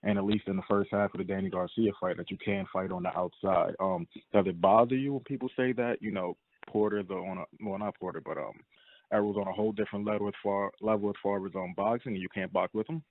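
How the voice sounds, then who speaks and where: A man with a lowish voice talks quickly over a tinny, dry phone line. He is a sports reporter asking questions in an informal, wordy way. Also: steady tone. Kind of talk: casual interview questioning. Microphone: telephone.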